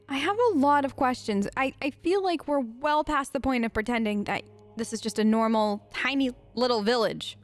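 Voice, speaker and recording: High-pitched, playful woman gamer. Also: no room sound. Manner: playful, expressive, muttering to herself and the characters. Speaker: gaming video host. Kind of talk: role-play let's play commentary, casual chat. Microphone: close dry voice-over microphone.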